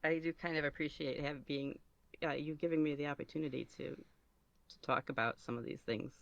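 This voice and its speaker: A man's voice: on the high side, fairly even intonation, a little fast, creaky, fairly bright tone, no echo, highs cut off. A man speaking casually as an interview guest, rambling and somewhat self-conscious as he goes. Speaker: podcast guest, ASMR roleplay creator.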